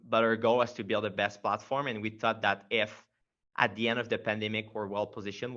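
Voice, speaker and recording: A man's voice: on the low side, sharp tone, dull audio. A man from Quebec with a strong Quebec French accent, a startup founder telling his story in a casual interview, rambling with many fillers and restarts.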